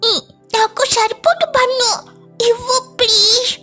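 A woman voicing a small, chatty, greedy girl sparrow for a children's cartoon story, in a squeaky childlike voice. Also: steady tone, unhurried, pushed and bright, faint music behind, exaggerated voice. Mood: greedy, pleading.

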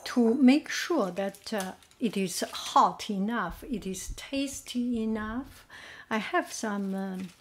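A woman's voice: mid-range voice, takes it slowly, slight background noise. A woman giving a casual home cooking demo, thinking aloud with many fillers. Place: home kitchen at a gas stove.

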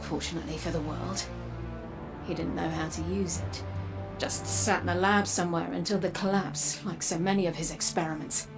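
An actress playing a grave, guarded woman warrior in a video game, measured and solemn, her breathy voice dropping toward a whisper. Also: lowish voice, fairly even intonation. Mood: resolute, serious. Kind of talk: dramatic video game acting. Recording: game music underneath. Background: music.

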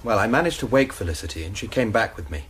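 A nervous young student confessing. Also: male voice, fairly low pitch, lively intonation, quick pace, fairly bright tone, a little hiss or hum. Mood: worried. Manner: anxious, rambling confession. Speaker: student suspect. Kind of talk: BBC radio drama acting.

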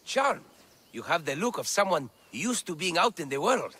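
An actor playing an eager, bookish scholar of antiquities in ancient Greece, with florid, theatrical enthusiasm in video game dialogue. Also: male voice, fairly high pitch, big pitch swings, moderate pace, sharp tone, breathy edge, full character voice. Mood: flustered, friendly.

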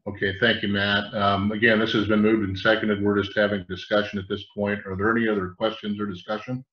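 A man with a fairly low, even voice chairs a formal meeting as mayor. His video-call audio is roomy and echoing. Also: fairly quick, dull audio.

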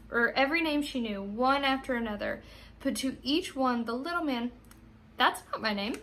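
A young American woman with a high voice speaks as a teacher to children. Her delivery is expressive, in the manner of someone reading a storybook aloud. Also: a little hiss or hum. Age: young adult.

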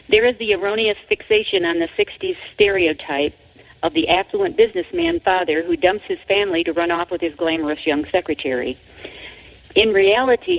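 A woman with a creaky, gravelly edge to the voice narrates a book from a script. The delivery is deliberate and emphatic, and the recording sounds dull and lo-fi. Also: lowish voice. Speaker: author reading their own book. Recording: dull, lo-fi audio.

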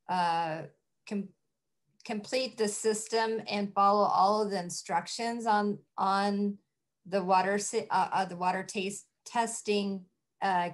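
A middle-aged woman farmer giving casual, halting interview answers over a video call from a room at home. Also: mid-range voice. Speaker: farmer, guest. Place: small wood-panelled room at home. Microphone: computer mic on a video call.

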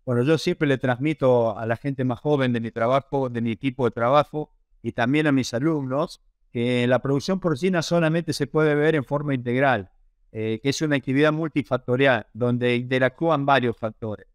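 Smooth-voiced older Argentine man. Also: medium pitch, normal speed, no echo, studio quality. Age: late 50s to 60s. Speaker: interview guest, pig production researcher and lecturer. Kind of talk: conversational interview answers, explanatory. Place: small indoor office on a video call. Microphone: wired earphone headset.

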